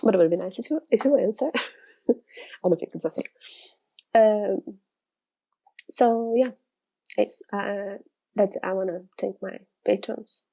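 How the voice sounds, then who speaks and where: A woman's voice: mid-range voice, unhurried, vocal fry, pauses often, mellow, rough recording. A Brazilian woman in her thirties, speaking English as a second language, chatting casually to camera from her studio, rambling with frequent restarts. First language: Portuguese. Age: 30s. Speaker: illustrator vlogger.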